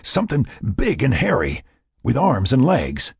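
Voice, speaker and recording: A man's voice: fairly low pitch, wildly swooping pitch, moderate pace, vocal fry, a little mellow, slightly rough, no room sound, studio quality, pitched above the performer's own voice, heavily acted. A man voicing a terse, commanding, protective man in an adventure audiobook. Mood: excited, insistent. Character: gruff, urgent, protective man with rifle in adventure story.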